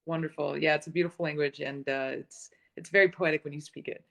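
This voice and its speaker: A woman's voice: sits low, fairly even intonation, fast, gravelly. A woman interviewing in a casual live-stream chat, enthusiastic and laughing often.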